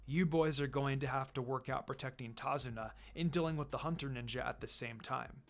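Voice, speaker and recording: A man voicing a calm, weary, commanding ninja teacher in a measured, matter-of-fact way, for a story reading. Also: mid-range voice, gravelly, faint music behind, pitched below the performer's own voice, close to an everyday voice. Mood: serious.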